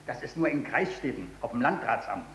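An actor in a 1940s German radio play, playing an obedient soldier who reports in a barked military manner. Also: male voice, medium pitch, animated, a little fast, fairly bright tone, a little hiss or hum, close to an everyday voice. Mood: dutiful. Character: obedient, brisk soldier reporting to his captain. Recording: echoey hall, dull old radio recording.

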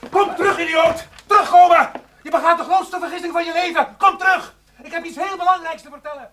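An actor playing a pompous, talkative intellectual in a radio play, with affected, cultured phrasing and a husky voice. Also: male voice, very high-pitched, fairly even intonation, a little fast, pushed and bright, a little hiss or hum. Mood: agitated. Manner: pompous, affected, hesitant hums.

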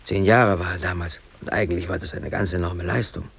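A man's voice: sits low, steady tone, a little fast, gravelly, fairly bright tone, slightly rough, full character voice. An actor playing an aggrieved East German worker and amateur photographer in his late thirties, delivering a rambling, brooding radio drama monologue. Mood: wistful, rueful. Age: late 30s. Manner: brooding, rambling, swinging between muttering and outbursts.

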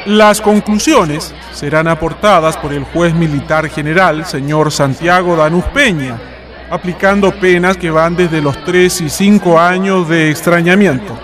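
A man's voice: on the high side, unhurried, a crowd in the background, natural voice. A man with a Chilean accent playing a stern military prosecutor in a historical radio drama, formal and declamatory. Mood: solemn, accusing. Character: stern male military prosecutor pronouncing charges in court.

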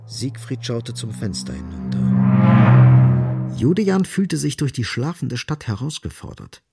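A man narrating a literary radio drama gravely, in short, emphatic phrases. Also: medium pitch, huge pitch swings, measured pace, over loud music.

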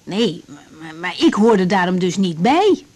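An actress playing a polite young woman doctor, tactful and a little halting, in a naturalistic radio drama. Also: fairly low pitch, sing-song, darkish tone. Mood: amused. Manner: hesitant, courteous, tactful.

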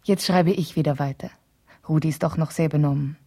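A wife pouring out an emotional letter in a radio play. Her voice sits a little low, soft-edged and dark, with a husky grain. She confides hesitantly, as if thinking each thought aloud. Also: fairly even intonation, a little fast. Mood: tender, content. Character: wife writing an emotional letter to a trusted friend.

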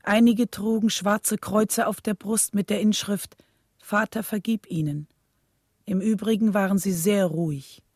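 An actress playing a composed young wife and mother, narrating a radio drama in a measured, sober way. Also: mid-range voice, fairly even intonation, a little mellow, close to an everyday voice.

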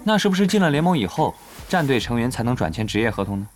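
男演员饰演语调起伏很大、爱较真的年轻男玩家. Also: male voice, medium pitch, very animated, quick pace, a little hiss or hum, close to an everyday voice. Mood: skeptical, sarcastic. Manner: wordy, questioning, sardonic. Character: cautious, nitpicking young male gamer, cheeky and sarcastic. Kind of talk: Mandarin audio drama acting.

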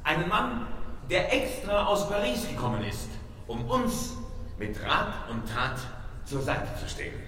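A self-important local chairman in a radio drama. His male voice is bright and slow, speaking in formal oratory from far off in a very echoey hall. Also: sits high, very animated, full character voice. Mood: ceremonious. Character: pompous local party chairman introducing a guest speaker. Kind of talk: ceremonial speech in a radio crime drama. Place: large hall. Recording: very echoey hall, distant, faint noise.

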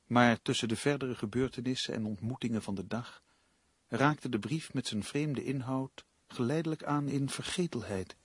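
An actor playing an uneasy, earnest crime novelist in a radio drama, given to defensiveness. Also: male voice, medium pitch, moderate pace, slightly rough, close to an everyday voice. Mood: ominous.

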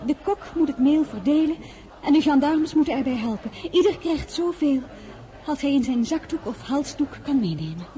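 A woman's voice: sits high, lively intonation, moderate pace, mellow. An actress playing a generous young noblewoman giving orders to her maid. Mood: firm. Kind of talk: radio play acting.

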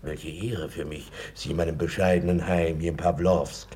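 A man's voice: a bass voice, fairly even intonation, moderate pace, warm tone, a little hiss or hum. An actor playing an obsequious, scheming male landlord in a 1950s radio play, gushing and conspiratorial.

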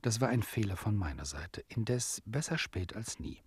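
An actor playing an urbane male narrator who addresses the listener with measured irony in a radio play. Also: lowish voice, expressive, husky, no echo. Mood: confiding. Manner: measured, ironic, confiding.

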